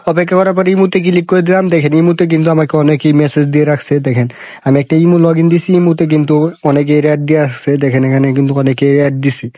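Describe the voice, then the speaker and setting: Male tutorial host, Bangladeshi accent, slightly high voice, very fast repetitive step-by-step delivery. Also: steady tone, warm tone, no echo, clean studio sound. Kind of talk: informal phone app tutorial narration.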